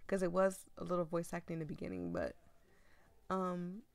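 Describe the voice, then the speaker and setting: Hoarse, gravelly woman streamer. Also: fairly low pitch, normal speed, no echo. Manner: chatty, laughing, expressive. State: hoarse, losing her voice. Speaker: streamer playing a story game. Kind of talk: casual game commentary and reading aloud.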